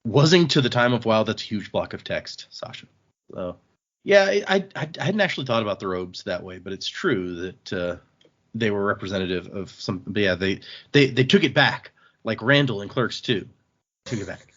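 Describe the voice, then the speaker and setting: A man's voice: fairly low pitch, animated, normal speed, a bit bright, dull audio. A man hosting a podcast, chatting casually and reading listener emails aloud in an easygoing, agreeable manner.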